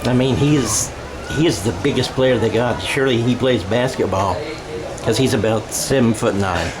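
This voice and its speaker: An American man calling a football game radio-style in a conversational, halting way, often correcting himself. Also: medium pitch. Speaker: play-by-play sports commentator. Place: outdoor high school football game. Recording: crowd noise behind the voice.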